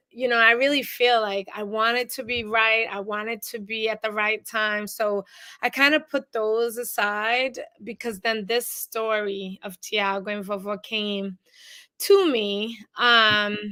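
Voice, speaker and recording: A woman's voice: fairly high pitch, sharp tone, clean tone, dry recording. A young woman from Boston, whose first language is Cape Verdean Creole, talking earnestly as a podcast guest with many "you know" fillers. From Boston, USA. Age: young adult. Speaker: podcast guest, author and teacher. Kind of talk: casual interview chat.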